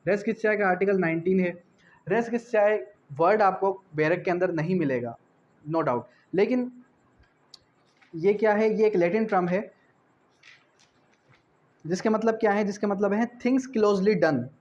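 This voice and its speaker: A man's voice: high-pitched, a little slow, long pauses, a little mellow, muffled recording. A man with a Pakistani accent teaching like an exam-prep law tutor, didactic, repeating key phrases and posing rhetorical questions, switching into English terms. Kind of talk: exam-prep lecture mixing Urdu with English legal terms.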